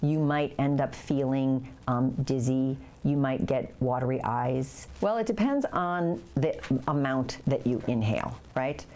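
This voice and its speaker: A woman's voice: extremely low pitch, measured pace, hardly any pauses, dark tone. A woman explaining things as an expert in a spontaneous interview. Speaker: biology lecturer and waste compliance officer interviewed.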